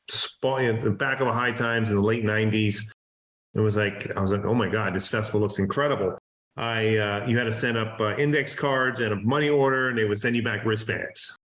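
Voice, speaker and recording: A man in his forties from Hawaii, a festival promoter telling his story in a relaxed, rambling way with many fillers and restarts. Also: on the low side. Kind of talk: casual interview storytelling. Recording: roomy echo.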